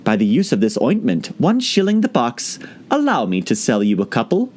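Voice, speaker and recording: A man's voice: medium pitch, huge pitch swings, normal speed, darkish tone, pitched above the performer's own voice. Man doing a cranky, sing-song old father. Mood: boastful. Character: cranky, boastful old father reciting verse. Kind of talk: audiobook poem recitation.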